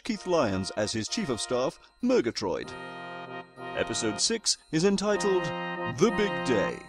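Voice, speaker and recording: Droll, proper British narrator. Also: male voice, medium pitch. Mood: mock grand. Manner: pompous, dry, wordy. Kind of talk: scripted comedic narration.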